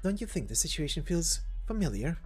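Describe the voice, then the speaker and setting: A man's voice: on the high side, very animated, fairly quick, a little mellow, slightly rough, soft background music, voice pushed up, full character voice. A man voicing an anxious, brooding young female mercenary, grave and insistent, in an amateur game story reading.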